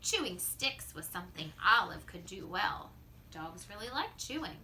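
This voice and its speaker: A woman reading a children's story aloud like a teacher, expressively, drawing out words and dropping into hushed asides. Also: medium pitch, very animated, normal speed, slight background noise, poor audio.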